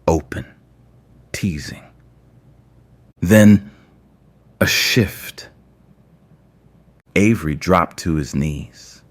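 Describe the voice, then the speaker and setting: A man with a deep voice narrates an intimate romance story. His delivery is slow and measured, with deliberate phrasing and dramatic pauses between short phrases.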